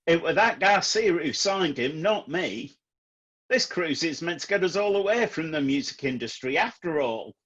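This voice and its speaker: A man's voice: fairly high pitch, expressive, normal speed, fairly bright tone, lo-fi sound. A man playing a blunt, scolding music manager and irritable son in a comedy radio play. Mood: scornful.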